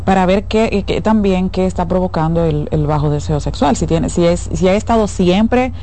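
Female radio host, warm mellow voice, reads listener messages. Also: on the low side, normal speed, slight background noise. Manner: reads listener messages, brief agreeing replies. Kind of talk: radio talk show hosting.

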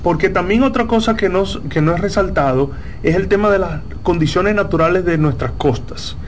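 Man, Dominican accent, somewhat high pitch, faint background hiss, measured explanations. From Dominican Republic. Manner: measured, explanatory, frequent "eh" fillers. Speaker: company founder, guest. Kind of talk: interview answers.

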